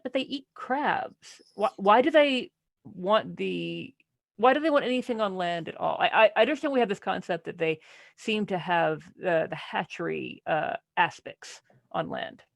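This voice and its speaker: Creaky, dry-sounding voice in casual debate. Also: medium pitch, lively intonation, normal speed, no room sound. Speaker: podcast panelist in book discussion. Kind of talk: casual book discussion.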